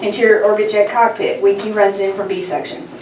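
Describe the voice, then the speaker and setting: A woman's voice: mid-range voice, little pitch movement, brisk, crowd noise. Woman narrating a script, roomy and distant. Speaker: narrator reading stage directions. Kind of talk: script read aloud at a table read. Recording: roomy, distant mic, chattering group behind.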